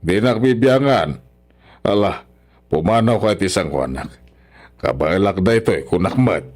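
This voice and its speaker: A man's voice: deep voice, lively intonation, a little slow, darkish tone. A man with a Filipino accent acting a proud, stubborn, grumpy old father-in-law in a radio drama. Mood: irritated, stubborn.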